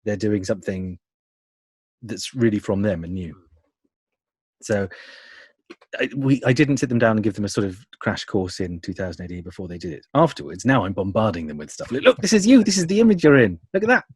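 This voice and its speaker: A man's voice: lowish voice, big pitch swings, a little fast, slightly rough, dry recording. A man talking enthusiastically in an interview as an audio producer, wordy and often rephrasing as he goes. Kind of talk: conversational interview about creative work.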